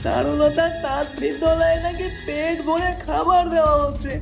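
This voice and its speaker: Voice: high voice, fairly even intonation, normal speed, vocal fry, soft background music. A performer playing a timid, starving male royal servant in a cartoon, pleading and deferential. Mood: despairing.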